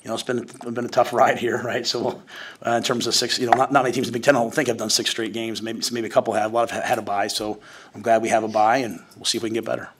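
A man in his forties, a head football coach, answering questions at a postgame press conference from a podium, talking in a rapid, conversational way full of restarts and fillers. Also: medium pitch, little pitch movement, crowd noise. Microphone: podium mic.

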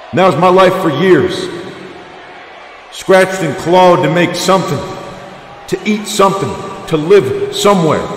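A man voicing a gruff, bearded wrestler who went from drifter to champion, cutting a blunt, defiant promo full of swearing. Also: a little high, echoey room, long reverb tail, slight background noise. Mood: grim. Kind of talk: fan-voiced wrestling game promo.

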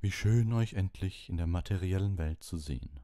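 A man voicing a thin, mystical woman who teaches divination in an audiobook, soft and smoky, making slow, solemn pronouncements. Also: low-pitched, no echo, studio quality, close to an everyday voice. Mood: mystical welcome. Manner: slow, mysterious, otherworldly pronouncements. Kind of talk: audiobook character voice.

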